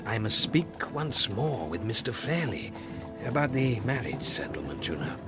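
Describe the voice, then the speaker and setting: An actor playing a courteous, concerned Victorian family solicitor with an English accent, formal and proper in a period audio drama. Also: male voice, fairly low pitch, big pitch swings, moderate pace, over loud music. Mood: polite. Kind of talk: period radio drama acting. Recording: street ambience and noise behind.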